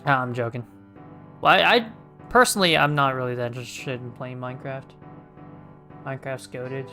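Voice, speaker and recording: Mid-pitched male game streamer, casual. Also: normal speed, sharp tone. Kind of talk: casual livestream game commentary. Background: game music.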